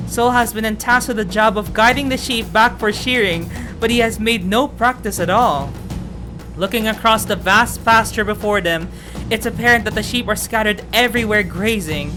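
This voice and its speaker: A woman game streamer reading story text aloud and commenting with effusive, exclamatory excitement. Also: mid-range voice, moderate pace. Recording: music behind the voice.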